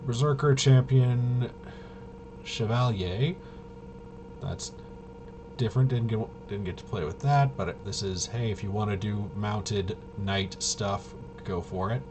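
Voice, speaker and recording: A man giving a casual, off-the-cuff rules walkthrough as a tabletop game reviewer, in a halting, mumbling way. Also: on the low side. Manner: halting, mumbling through half-read rules. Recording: clearly noisy home recording.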